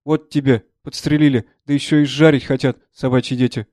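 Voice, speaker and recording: A man's voice: medium pitch, steady tone, a little fast, darkish tone, dry recording, studio quality, lightly acted. Guy doing a wounded old-time soldier, plain folksy talk, earnest and plucky, audiobook, no room sound. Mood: bitter. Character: wounded veteran Russian soldier, plain-spoken, plucky, deferential to officers. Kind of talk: dramatised audiobook character voice.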